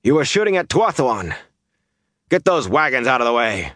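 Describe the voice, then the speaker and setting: Male narrator as gruff village leader, commanding, exasperated, raised pitch, animated, fantasy audiobook. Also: moderate pace, dry recording, clean studio sound. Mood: disgusted. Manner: growling, barking orders.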